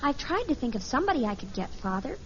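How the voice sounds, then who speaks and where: An actress playing an apologetic, dramatic American teenage daughter in a 1950s radio sitcom. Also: female voice, high voice, sing-song, fast. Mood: exasperated. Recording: muffled, noisy old radio recording.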